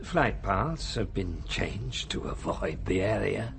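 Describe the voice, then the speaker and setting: Coolly menacing ruler, swooping delivery. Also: male voice, fairly low pitch, big pitch swings, vocal fry, music in the background, heavily acted. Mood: quietly menacing. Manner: measured, lecturing, condescending. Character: male world ruler, urbane, coolly cynical, menacing authority. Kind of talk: radio drama acting.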